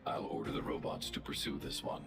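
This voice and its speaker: A man voicing a huge calm male guardian robot in a video game, speaking in a flat analytical monotone. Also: fairly quick, close to an everyday voice. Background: game music.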